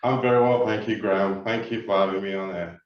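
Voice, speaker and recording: A man from Nigeria speaks with a Nigerian accent, Yoruba his first language. His voice sits fairly low, and he talks in a measured way with frequent "uh" fillers. The sound is very echoey, dull and comes from far off the mic. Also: fairly even intonation. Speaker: keynote speaker. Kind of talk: webinar talk.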